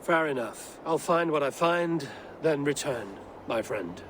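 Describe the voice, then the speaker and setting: A stoic, firm Viking raider. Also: male voice, fairly high pitch, expressive, a little slow. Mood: measured. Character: stoic, steadfast male Viking raider hero. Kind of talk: dramatic video game cutscene acting. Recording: game audio with faint background noise.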